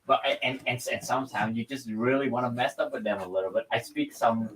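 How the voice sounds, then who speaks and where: A man's voice: mid-range voice, fast, fairly bright tone. A man chatting casually on a live art stream, rambling and repeating himself as he tells stories. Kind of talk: casual chat while painting. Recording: distant mic with background noise.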